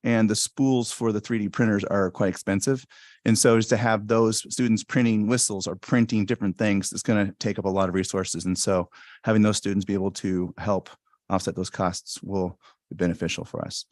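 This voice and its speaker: Brief: man, soft-spoken and halting, low-ish voice, board-meeting presenter on a stand mic, dry sound. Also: steady tone, no echo. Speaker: school administrator presenting fees. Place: school board meeting room. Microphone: stand microphone at a meeting table.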